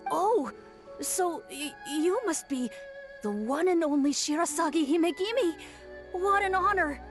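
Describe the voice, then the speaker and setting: Shy, high-voiced little yokai. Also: female voice, lively intonation, unhurried. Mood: starstruck. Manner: shy, stammering, deferential. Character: timid, polite little childlike yokai. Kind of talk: English game dub acting. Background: faint music.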